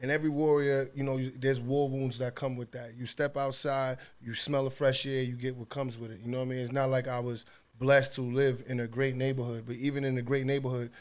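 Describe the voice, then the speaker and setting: Streetwise New York man, mid-pitched. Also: little pitch movement, brisk, darkish tone. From Coney Island, New York. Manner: slangy, streetwise, self-assured. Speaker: rapper and interview guest. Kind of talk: casual interview chat.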